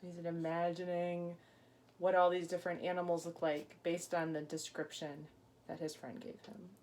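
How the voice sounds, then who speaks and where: A woman teacher reading a picture book aloud expressively, pausing to ask young listeners questions and explain things. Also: on the low side, flat delivery, a little mellow, slight background noise.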